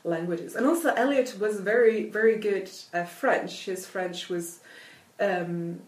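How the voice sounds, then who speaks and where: A woman's voice: medium pitch, measured pace, distant mic. A woman whose first language is Italian, talking about literature in an interview, thoughtful and hesitant, with many fillers and restarts. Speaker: literature academic. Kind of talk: conversational interview. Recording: echoey room, off-mic.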